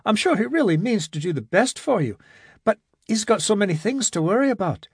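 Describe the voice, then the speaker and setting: A man's voice: sits high, big pitch swings, brisk, husky, no echo, clean studio sound, voice pushed up, exaggerated voice. A man voicing an eager, self-assured young man in an audiobook reading.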